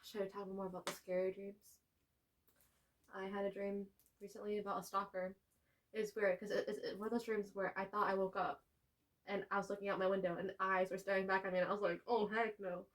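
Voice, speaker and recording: A young woman co-hosting a podcast, telling stories in animated casual chat. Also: mid-range voice, a little fast. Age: young adult. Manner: animated storytelling with frequent laughs. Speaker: podcast co-host.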